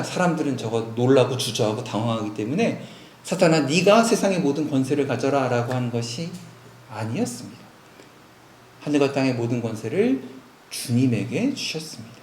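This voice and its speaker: A man's voice: fairly high pitch, darkish tone, reverberant, a little hiss or hum. A male pastor preaching a sermon, posing rhetorical questions to his congregation. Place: church sanctuary.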